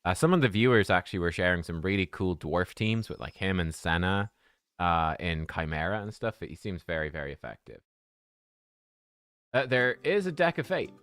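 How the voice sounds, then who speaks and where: Relaxed low-voiced game streamer. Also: male voice, normal speed, clear, resonant tone, no room sound, clean studio sound. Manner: relaxed, chatty, thinking aloud with fillers. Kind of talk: casual live-stream chat.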